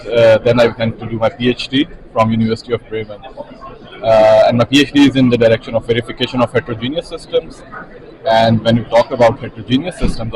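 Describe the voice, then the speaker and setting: A man's voice: mid-range voice, low-quality recording. A man from Pakistan in his thirties, a researcher giving a casual interview at a conference. He speaks thoughtfully and uses a lot of fillers. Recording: crowd noise behind.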